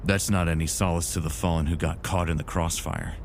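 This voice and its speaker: A very low-voiced, gravelly actor as a male space soldier, weary and remorseful. He narrates his sci-fi audio drama in an earnest, brooding way over a faint rumble. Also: steady tone, fairly quick. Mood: guilty.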